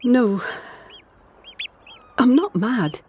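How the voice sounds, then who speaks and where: Troubled, halting, high-voiced island woman. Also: expressive, mellow, slight background noise. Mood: defensive, bitter. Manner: halting, distressed, breaking off.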